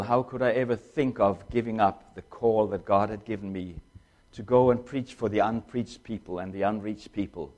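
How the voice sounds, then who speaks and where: Older man with a Danish accent, low voice. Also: darkish tone. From born in China to Danish parents, raised in Tanzania. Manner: conversational storytelling, slows down to read scripture. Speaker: guest speaker. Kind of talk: sermon testimony.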